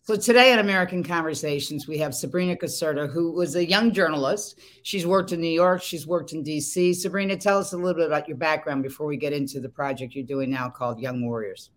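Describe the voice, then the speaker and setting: A woman hosting an interview, asking questions in a halting way with frequent restarts. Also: deep voice. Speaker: host. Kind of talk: interview host.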